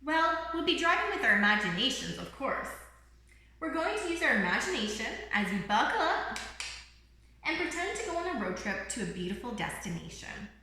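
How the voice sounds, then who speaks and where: A woman's voice: sits high, very animated, fairly quick, sharp tone, a little hiss or hum, voice pushed up, exaggerated voice. A woman voicing a cheerful, encouraging little bird guide in a children's picture book, with a bubbly, reassuring manner. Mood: bubbly, eager. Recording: roomy, echoing room sound.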